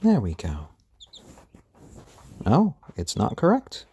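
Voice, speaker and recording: A man hosting a casual live stream at home on his phone, speaking gently to viewers and to baby chicks. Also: fairly low pitch, huge pitch swings, slow pace, breathy edge. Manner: gentle, soft-spoken, talks to chat and chicks. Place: home room. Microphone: handheld phone.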